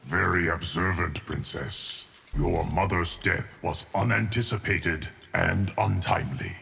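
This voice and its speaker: Game warrior-sage: low, creaky gravel, solemn, cryptic, measured delivery, faint noise behind. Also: male voice, fairly bright tone, heavily acted. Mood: solemn, stoic. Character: solemn, ancient male guardian of time, stoic warrior. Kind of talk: video game character acting.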